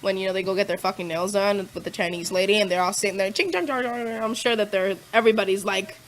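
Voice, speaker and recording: A woman's voice: medium pitch, quick pace, a little hiss or hum. A woman on a podcast as the guest, chatting casually and telling stories in a laid-back, profane way, with lots of fillers and laughs. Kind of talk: casual chat.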